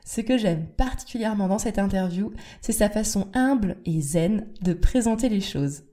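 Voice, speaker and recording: A woman's voice: medium pitch, animated, moderate pace, warm tone. A woman hosting a podcast interview, reading a scripted intro then chatting in a friendly way with fillers. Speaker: podcast host.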